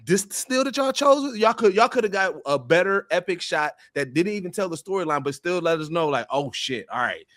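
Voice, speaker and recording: A man's voice: high-pitched, expressive, fairly quick, few pauses, a bit bright, no room sound. Emphatic man, close dry podcast sound. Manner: emphatic, colloquial, explanatory. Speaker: podcast panelist. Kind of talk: casual pop-culture discussion.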